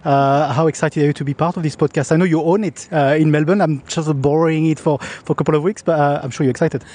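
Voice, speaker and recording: Man, french accent, podcast host, rapid speech, slightly high voice. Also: steady tone, a little mellow. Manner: quick, chatty questioning. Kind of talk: casual interview chat.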